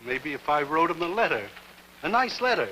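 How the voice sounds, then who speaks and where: Gentle high-voiced grandpa, lively and polite, faint music underneath. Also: male voice, brisk, a little hiss or hum, poor audio. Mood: earnest. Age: older. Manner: mild, polite, wondering. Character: gentle, wistful old grandfather. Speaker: grandfather forced to retire. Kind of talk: 1950s television drama acting.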